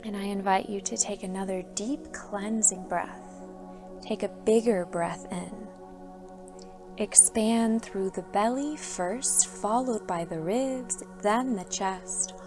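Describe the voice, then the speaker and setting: A woman yoga instructor guides a sleep meditation in a soft, soothing voice, unhurried and drawn out with calm pauses. Her tone carries a gravelly fry at the ends of phrases, and gentle music plays behind her. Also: medium pitch. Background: soft background music.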